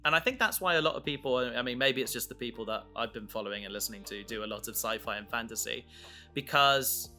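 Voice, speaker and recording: Male podcast host, bright forward voice, casual and enthusiastic, wandering sentences, faint music behind. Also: medium pitch, quick pace. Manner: rambling, enthusiastic, trails off mid-thought. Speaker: podcast host and audio drama creator. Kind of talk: casual chat about making an audio drama.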